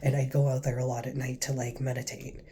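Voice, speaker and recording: A man with a cold and a stuffy nose, telling his faith testimony in a casual, earnest, rambling way, now and then dropping to a soft whisper. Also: mid-range voice, level tone, fast talker. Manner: earnest, rambling, heavy with fillers. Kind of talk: casual spoken testimony to camera.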